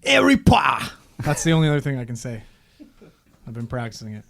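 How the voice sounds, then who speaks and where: Man, very lively pitch, animated podcast talk, swears casually. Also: fairly quick, gravelly. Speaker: podcast co-host. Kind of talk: casual chat.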